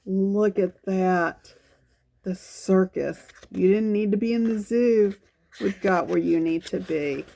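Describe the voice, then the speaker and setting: A woman's voice: medium pitch, takes it slowly, vocal fry, dark tone, a little hiss or hum. A woman reading a picture book aloud to young children, adding folksy exclamations and coaxing little questions as she goes. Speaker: children's storytime reader.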